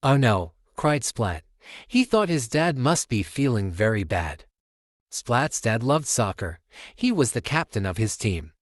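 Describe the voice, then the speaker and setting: A man reads a children's storybook in an expressive, animated way. His middling voice moves freely up and down at an unhurried pace. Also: mid-range voice, no room sound. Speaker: storytime narrator.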